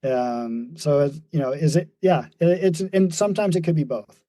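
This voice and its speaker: Casting: man in his 40s, warm mellow tone, dry close sound, thoughtful and halting. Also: on the high side, dry recording. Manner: thoughtful, many fillers and restarts, laughs easily. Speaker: podcast guest, mountain runner. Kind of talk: reflective casual conversation.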